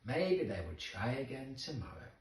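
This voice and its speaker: A man's voice: mid-range voice, very animated, normal speed. A man narrating a children's story expressively, with dramatic emphasis for young listeners. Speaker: storybook reader. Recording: roomy echo, distant microphone.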